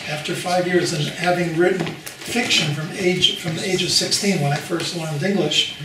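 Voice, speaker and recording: A man's voice: fairly high pitch, fairly even intonation, moderate pace, background noise. An older man whose first language is Polish, chatting enthusiastically with guests at a gathering in a living room. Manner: chatty, enthusiastic, asks many questions. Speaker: guest author. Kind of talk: casual chat. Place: living room of a large house, indoors. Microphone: far camera. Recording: echoey room from far off.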